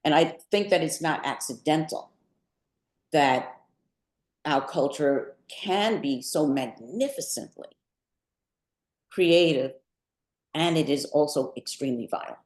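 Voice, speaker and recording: An older woman talking reflectively about creative work, measured and thoughtful, with deliberate pauses in mid-sentence. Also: low-pitched, a little mellow. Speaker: poet and artist being interviewed. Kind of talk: reflective talk about own creative work at a book event. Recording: roomy, echoing live event sound.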